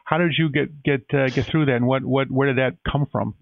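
A man's voice: medium pitch, fairly even intonation, fairly quick, vocal fry, no room sound. A man hosting an interview, asking long, rambling questions full of fillers. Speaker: interview host. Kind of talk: casual interview. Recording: tinny phone-like line.

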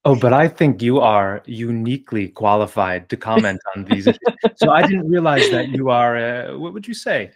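A man from New Jersey interviewing a guest, asking long questions in measured, formal phrasing. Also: medium pitch, lively intonation. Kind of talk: casual interview conversation.

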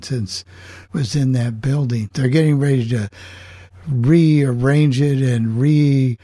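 A man's voice: mid-range voice, mellow, dry recording, studio quality. An older man hosting a casual group chat and moving the conversation along among friends. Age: 60s or older. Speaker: host of a club chat.

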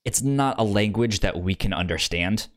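A man co-hosting a podcast, chatting casually about books in a halting way. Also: lowish voice, lively intonation. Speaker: podcast co-host discussing comics. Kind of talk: casual chat, book review.

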